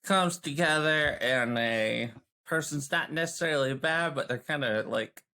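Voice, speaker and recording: A woman's voice: sits low, unhurried, pushed and bright. A woman chatting casually as a player on a role-playing podcast, with a wry manner. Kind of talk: casual chat.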